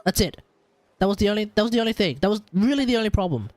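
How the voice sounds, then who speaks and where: A man's voice: high voice, animated, very fast. A man with an Australian accent giving casual, opinionated, rambling commentary on a gaming livestream. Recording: faint game music behind.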